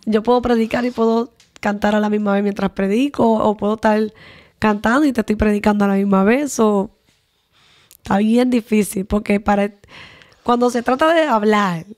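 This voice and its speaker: Young Dominican evangelist with a Dominican accent; chatty, thorough talker in a podcast interview. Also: female voice, medium pitch, moderate pace, a little mellow. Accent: Dominican Spanish. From Dominican Republic. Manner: talkative, lengthy explanations. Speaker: evangelist guest.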